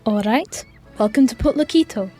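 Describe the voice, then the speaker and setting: A woman's voice: fairly high pitch, expressive, normal speed, mellow, soft background music. A woman playing a firm, wary town gate guard in a fantasy audio drama, formal and polite.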